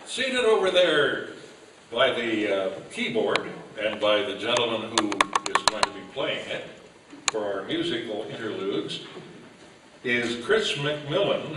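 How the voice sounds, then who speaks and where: A man's voice: medium pitch, very animated, slow pace. A man hosting a live stage event, introducing a cast in an informal, unscripted way with a self-deprecating, joking manner. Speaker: master of ceremonies introducing a cast. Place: live on stage at an old-time radio event. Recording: very echoey, noisy hall, distant mic.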